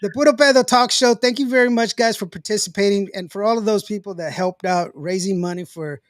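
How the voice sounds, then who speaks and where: Host, male, West Texas accent, slightly high voice, a bit under the weather, dry close mic. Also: fairly quick, dry recording. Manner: laughs often, relaxed. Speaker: podcast host. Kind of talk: casual chat.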